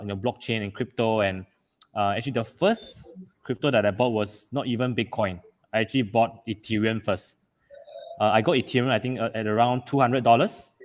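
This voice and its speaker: A man from Malaysia telling his story casually on a video call as a panel speaker, with many fillers and restarts. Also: on the low side.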